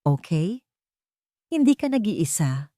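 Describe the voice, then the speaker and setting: Woman playing a gentle, low-voiced caring guardian woman. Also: slow pace, no echo, studio quality. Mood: calm, reassuring. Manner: soft-spoken, calm, reassuring.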